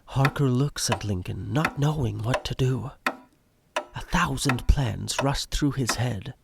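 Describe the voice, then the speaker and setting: A man narrating a scripted audio drama in short, measured sentences. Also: medium pitch, vocal fry, heavy background noise. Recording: music behind narration.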